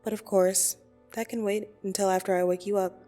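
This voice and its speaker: A woman playing a blunt, teasing yet soothing dream demon in an ASMR roleplay, speaking deliberately and intimately with gentle condescension. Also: mid-range voice, flat delivery, lightly acted. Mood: fond, matter-of-fact. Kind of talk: ASMR roleplay audio drama. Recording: soft music behind the voice. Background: soft meditation music.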